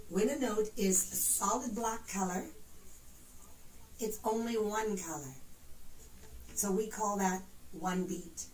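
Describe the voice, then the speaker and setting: A woman's voice: medium pitch, darkish tone. A woman teaching a piano lesson, explaining notes in an encouraging, patient manner. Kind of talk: instructional music lesson, demonstrating and counting notes. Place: indoors at a piano, room echo. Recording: roomy, distant mic, faint piano.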